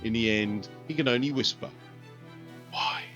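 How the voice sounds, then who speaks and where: A man's voice: mid-range voice, lively intonation, measured pace, sharp tone, muffled recording. Grave male audiobook narrator. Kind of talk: audiobook narration of a sci-fi war story. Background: faint music.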